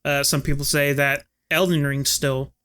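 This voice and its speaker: Slightly higher-voiced man chatting casually. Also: flat delivery, normal speed, bright, forceful tone. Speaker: podcast co-host. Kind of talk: casual chat about video games.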